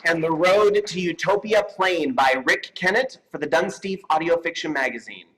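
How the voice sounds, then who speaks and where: A man's voice: a little high, lively intonation, normal speed. A playful, showy man presenting an award live on stage and joking around at the microphone. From United States, California. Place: convention stage. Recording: noisy live event microphone.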